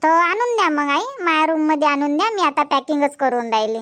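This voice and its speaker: A woman's voice: high-pitched, animated, a little fast, fairly bright tone. A woman voicing a busy young village daughter-in-law and mother in a cartoon comedy, chatty, with a Varhadi Marathi accent. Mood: agreeable, busy. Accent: Varhadi Marathi from Vidarbha. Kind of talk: amateur cartoon comedy dubbing in village dialect.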